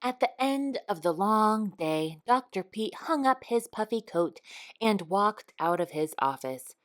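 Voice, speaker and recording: A woman reading a children's picture book aloud in an expressive storytime style. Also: medium pitch. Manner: expressive, unhurried. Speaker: storytime reader.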